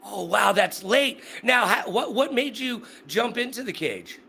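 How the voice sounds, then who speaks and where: High, bright-voiced upbeat male interviewer. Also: animated, measured pace, creaky, a little hiss or hum. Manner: upbeat, joking, chatty. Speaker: interview host. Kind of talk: casual interview. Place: Las Vegas.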